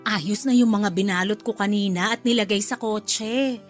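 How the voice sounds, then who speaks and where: A woman's voice: medium pitch, moderate pace, natural voice. An actress playing a kind, chatty older mother-in-law in a radio drama, speaking in a motherly way. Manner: warm, motherly, polite thanks. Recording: faint music bed behind.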